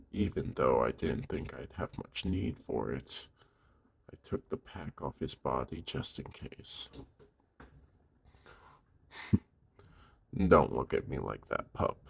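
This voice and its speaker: A man voicing a gruff but caring werewolf of the woods in an audio roleplay, speaking gently and protectively to the listener in a gravelly, husky voice. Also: extremely low pitch, full character voice.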